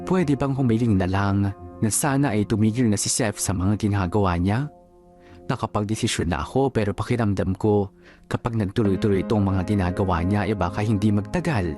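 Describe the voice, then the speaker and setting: A man's voice: fairly low pitch, brisk, a little mellow. A man giving scripted first-person narration for a radio drama, confiding and reflective in manner. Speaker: narrator reading a letter sender's story. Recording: music behind the voice. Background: music.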